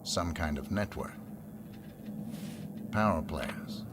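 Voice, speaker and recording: A man's voice: low voice, slow pace. Game assassin: bottom-register male voice, terse, controlled, detached, over heavy background noise. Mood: cool, terse. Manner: terse, clipped, understated. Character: cool, laconic male professional assassin. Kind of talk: scripted video game cutscene acting.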